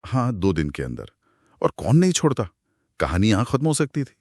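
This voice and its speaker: A man co-hosting a podcast, retelling a horror story in a dramatic, suspenseful way with pauses. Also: lowish voice, huge pitch swings, a little mellow, breathy edge. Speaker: podcast co-host.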